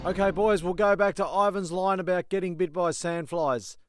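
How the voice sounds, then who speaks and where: A man's voice: sits high, moderate pace, clear, resonant tone, faint music behind, lightly acted. Man acting a matter-of-fact castaway announcer. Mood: mock-serious. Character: easygoing castaway man who turns mock newsreader. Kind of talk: comedy audio drama.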